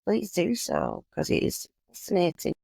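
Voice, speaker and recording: A woman's voice: mid-range voice, expressive, normal speed, gravelly, dark tone, no echo. An English woman from England, a guest artist in a casual chat, speaking in a meandering, hesitant way. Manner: meandering, hesitant, with "you know" and "sort of". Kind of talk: casual conversation.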